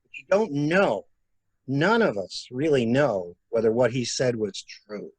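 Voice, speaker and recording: Man playing calculating mystery-drama murderer, theatrical, lilting and animated, measured musing phrases, dry recording. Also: mid-range voice, breathy edge, no echo. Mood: musing, insinuating. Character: calculating male murderer posing as helpful investigator. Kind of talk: amateur radio-play style mystery acting.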